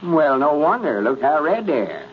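Lum, know-it-all country old-timer. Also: male voice, on the high side, sing-song, moderate pace. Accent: rural Southern US. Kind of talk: 1930s radio comedy acting. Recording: dull, noisy old radio recording.

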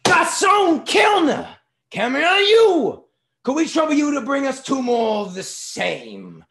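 A man playing a boorish, pompous father, loud, sarcastic and imperious as he bullies waiters in a dramatic story reading. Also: lowish voice, big pitch swings, normal speed, gravelly, a little hiss or hum. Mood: loud, haughty.

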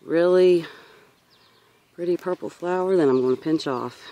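A woman's voice: lowish voice, normal speed, dark tone, slight background noise. Woman, thirties, casual gardener vlog, rambling narration, handheld recording outdoors. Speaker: gardener giving a seedling tour. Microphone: handheld camera or phone.

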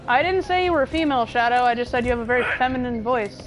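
A woman's voice: fairly high pitch, lively intonation, rapid-fire, soft background music, rough recording. A young woman livestreaming a video game, chatting with viewers in a profane, rambling way. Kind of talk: casual gaming livestream chat.

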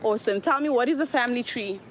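A woman interviewing people on the street, upbeat and quick with her questions and thanks. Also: a little high. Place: outdoors on a street. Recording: street recording with faint noise.

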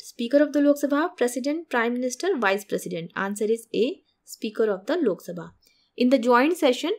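A woman runs through exam questions in a steady, methodical way. She reads out each statement and then rules it true or not, like a teacher working through slides. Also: high-pitched. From India.

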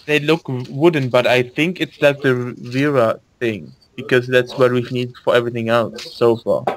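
A man's voice: mid-range voice, fairly even intonation, normal speed, rough recording. A man chatting casually with friends in a voice call while playing an online game, restarting his sentences often. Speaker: gamer in voice chat.

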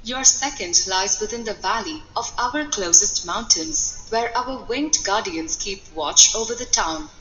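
Solemn female master mage for fantasy game: stately, unhurried, echoing, slightly dull audio. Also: medium pitch, lively intonation, pushed and bright, soft background music. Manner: stately, measured, formal. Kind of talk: fantasy video game acting. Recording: echoey hall reverb, dull game audio.

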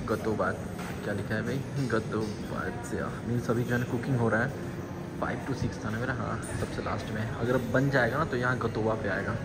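A man, chatting casually and informally as a student vlogger into a phone, mixing in English words. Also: mid-range voice. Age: 20s. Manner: informal, repetitive filler phrases, Hindi mixed with English words. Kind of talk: casual vlog chat. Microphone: phone at arm's length. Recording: noisy street and indoor phone recording.

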